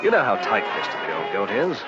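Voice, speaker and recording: An actor playing a breezy, gossipy young student with jaunty old-chap speech in a vintage radio drama. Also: male voice, lowish voice, very animated, brisk, over a noisy crowd, very noisy. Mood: jaunty, conspiratorial. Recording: dull vintage radio recording, music behind.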